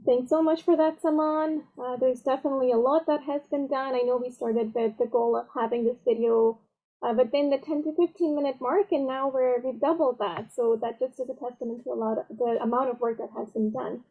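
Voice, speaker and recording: A woman's voice: high voice, fairly even intonation, brisk. A woman wrapping up an online meeting and inviting people to upcoming workshops, friendly in manner. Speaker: project manager closing a meeting. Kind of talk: online presentation talk. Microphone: computer mic on video call. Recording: tinny phone-like call audio.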